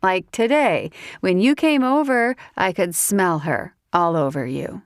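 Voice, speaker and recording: Female narrator doing a higher-pitched, sassy, tipsy young Southern woman, giggly and folksy; dry close read. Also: animated, measured pace, dry recording.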